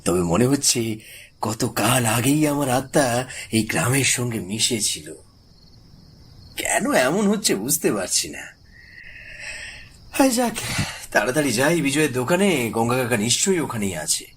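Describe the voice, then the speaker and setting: A man playing a gentle, dreamy village schoolmaster in a horror cartoon, theatrical and easily frightened. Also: mid-range voice, big pitch swings, slight background noise. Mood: pleased, musing. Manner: slow, theatrical, stammers when afraid. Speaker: cartoon character. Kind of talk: Bengali horror cartoon acting.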